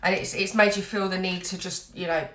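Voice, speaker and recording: A woman's voice: on the low side, steady tone, fairly quick, a bit bright, echoey room. A woman giving an earnest, reassuring tarot reading while full of cold, dotting her talk with "you know". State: has a cold, a bit snotty. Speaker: tarot reader.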